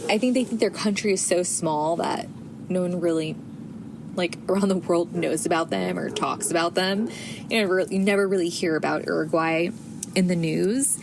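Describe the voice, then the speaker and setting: A woman's voice: fairly low pitch, steady tone, normal speed, gravelly. A woman from the United States in her thirties, hosting a casual solo podcast, chatty with many fillers and restarts. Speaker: podcast host. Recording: audible background noise.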